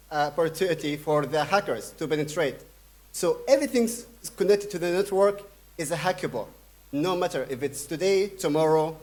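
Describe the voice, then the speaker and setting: Young male keynote speaker from Sudan, slightly high voice, hesitant delivery full of fillers. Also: darkish tone. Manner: halting, many fillers and restarts. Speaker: conference speaker. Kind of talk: conference keynote talk.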